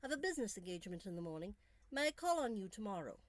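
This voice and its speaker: A woman voicing a charming, intense Victorian gentleman in a storybook, courtly, romantic and deliberate. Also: medium pitch, sing-song, no room sound, natural voice. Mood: earnest. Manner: courtly, deliberate, sometimes hushed.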